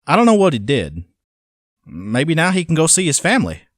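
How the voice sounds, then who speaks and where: Man playing a simple hired hand, swooping pitch. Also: huge pitch swings, normal speed, dry recording, studio quality. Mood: dutiful, puzzled. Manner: plain-spoken, uneducated, hesitant. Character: simple, folksy, obedient hired hand keeping a journal. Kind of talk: dramatic journal narration in an audio drama.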